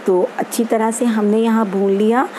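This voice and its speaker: A woman hosting a home cooking channel, calmly explaining each step of a recipe in an easygoing, instructive way. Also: on the high side, moderate pace, slight background noise, poor audio. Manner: easygoing and instructive, repeats steps. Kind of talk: home cooking tutorial voice-over. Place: home kitchen.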